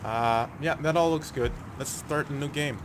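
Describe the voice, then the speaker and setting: A man's voice: on the high side, moderate pace. A man giving casual, unscripted game commentary, hesitantly thinking aloud as he plays. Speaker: gaming video host. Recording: clear background noise.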